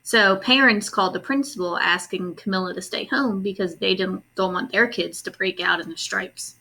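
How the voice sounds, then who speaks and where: A woman reading a children's story aloud expressively, pausing in a teacherly way to ask questions and explain to young listeners. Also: medium pitch, vocal fry, pushed and bright. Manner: instructive, pauses to ask and explain.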